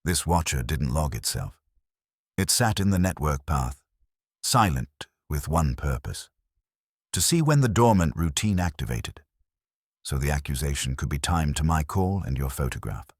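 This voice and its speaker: A man's voice: rumbling low, sing-song, normal speed, breathy edge, no echo, studio quality, full character voice. A man voicing a reserved, steely woman in an audiobook mystery, controlled and precise.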